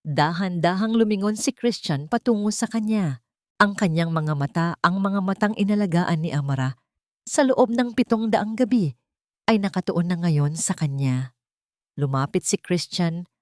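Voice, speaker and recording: Low-voiced woman narrating a drama. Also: animated, normal speed, a little mellow, no echo, studio quality. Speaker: audio story narrator. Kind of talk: dramatic scripted narration of an emotional story.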